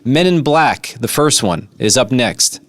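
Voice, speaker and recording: A man's voice: medium pitch, lively intonation, a little slow, fairly bright tone, dry recording. A man hosting a radio show, chatting casually with callers in a playful, joking way. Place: radio studio. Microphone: close studio microphone.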